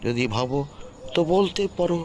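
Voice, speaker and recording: A man's voice: a little high, lively intonation, normal speed, natural voice. A man voicing a helpful, reassuring elder-brother figure who gives advice in a cartoon ghost story. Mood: concerned. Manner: reassuring, advising. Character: Poltu-da, helpful, reassuring elder-brother village problem solver. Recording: clear background noise, birds and crickets.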